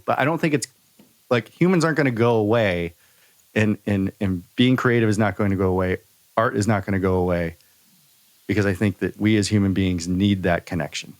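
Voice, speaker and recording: Male podcast host, lowish pitch, dry close sound, casual, earnest, a little halting. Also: no echo. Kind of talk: casual conversational discussion.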